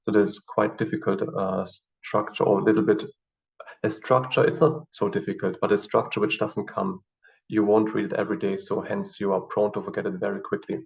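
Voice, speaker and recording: A man whose first language is German, teaching in a screen-share tutorial with halting explanations and many fillers. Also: lowish voice, little pitch movement, gravelly. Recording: distant, dull lo-fi mic.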